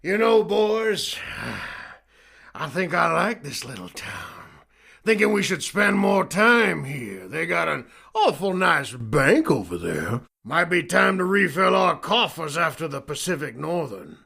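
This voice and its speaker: An actor playing a swaggering, mocking outlaw fish gang leader in a cartoonish western, with a raspy American drawl. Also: male voice, a little high, sing-song, a little slow, pushed and bright, exaggerated voice. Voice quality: gravelly, raspy. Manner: drawling, taunting. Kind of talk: cartoonish western audio drama.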